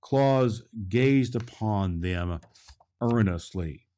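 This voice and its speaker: A man narrating a children's story as a storyteller, with theatrical, deliberate phrasing. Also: on the low side, animated, dark tone.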